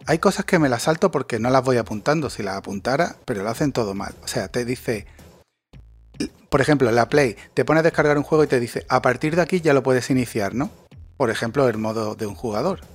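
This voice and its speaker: A man rants in a casual chat. He is sarcastic and swears freely, with an average pitch and faint music playing underneath. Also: brisk, slightly rough, no echo. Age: 40s.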